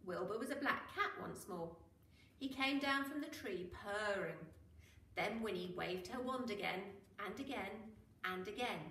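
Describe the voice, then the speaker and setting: A woman reading a children's story aloud in a dramatic, expressive way, with drawn-out emphasis on key words. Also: medium pitch. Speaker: school teacher reading to children. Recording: roomy, echoing, noisy, distant mic.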